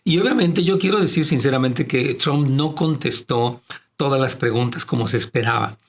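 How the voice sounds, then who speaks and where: A man's voice: medium pitch, moderate pace. A man with a Latin American Spanish accent and a strong, projecting voice, speaking as a pastor hosting a church program and explaining his views conversationally to his brethren. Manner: addresses listeners as brethren, explanatory. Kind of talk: conversational religious and political commentary.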